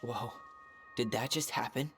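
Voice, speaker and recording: A young man with an American accent playing a gentle, sentimental character in a video game cutscene, casual and earnest. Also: mid-range voice, measured pace, over music, lightly acted. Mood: uneasy, amazed. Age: young adult. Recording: noisy game stream capture with ambience.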